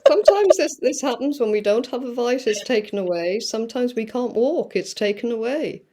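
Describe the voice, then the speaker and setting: A woman guest musing through spiritual ideas, with many fillers and pauses. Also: fairly high pitch, animated, very noisy. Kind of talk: reflective spiritual talk.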